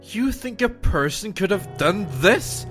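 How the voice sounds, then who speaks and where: Guy acting angry grieving young boyfriend, horror audio drama, big pitch swings, music behind. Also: lively intonation, measured pace, a bit bright. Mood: angry, incredulous. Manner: combative, swearing, accusatory. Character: angry, grieving, combative young person who lost a girlfriend.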